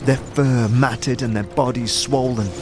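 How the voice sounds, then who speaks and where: A man narrating a scripted second-person adventure story in a measured, dramatic manner. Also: medium pitch, fairly even intonation. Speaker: narrator, game master. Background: music.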